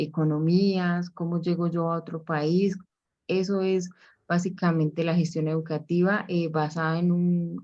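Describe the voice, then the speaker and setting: A woman giving an explanatory academic presentation on education, speaking in a measured way with frequent hesitation fillers. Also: lowish voice, dark tone. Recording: dull lo-fi recording.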